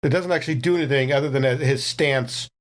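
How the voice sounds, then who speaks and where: A man's voice: medium pitch, a little fast. A man playing a tabletop roleplaying game, casually describing what his character does.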